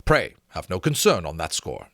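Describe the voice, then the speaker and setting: An earnest officer and gentleman, as a man performs him from a novel. He speaks with formal deference and wide, lively swings of pitch. The sound is close and dry. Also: normal speed, no room sound, exaggerated voice. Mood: earnest, polite. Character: Lawrence, earnest, polite, dutiful officer and gentleman. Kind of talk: dramatic audiobook character reading.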